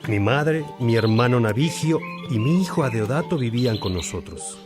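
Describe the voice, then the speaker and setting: A man's voice: mid-range voice, expressive, normal speed, music in the background, lightly acted. A man acting a devout, earnest churchman in a religious radio drama, speaking with solemn, reflective, formal phrasing. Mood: reflective, fond.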